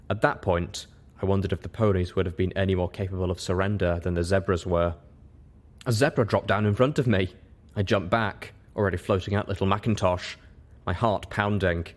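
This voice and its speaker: A man reading a story aloud as its narrator, in scripted first-person narration. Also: sits low. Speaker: reader, narrator.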